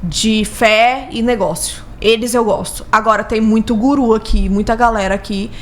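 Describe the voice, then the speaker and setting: A Brazilian woman with a fairly high voice talks in a casual interview style. She is emphatic, doubling back on key words to drive them home. A little noise sits behind her. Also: steady tone, moderate pace. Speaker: podcast guest, business consultant.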